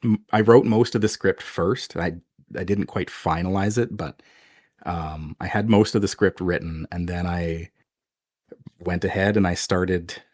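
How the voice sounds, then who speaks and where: A soft-spoken man chatting casually as an interview guest, conversational and a little self-deprecating about his creative work. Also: low-pitched, measured pace, dry recording, clean studio sound.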